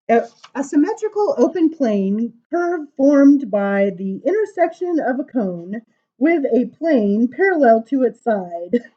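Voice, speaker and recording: A woman reading children's poems aloud at story time, informal, with dramatic emphasis and drawn-out words. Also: a little high, darkish tone, muffled recording. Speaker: library story time reader.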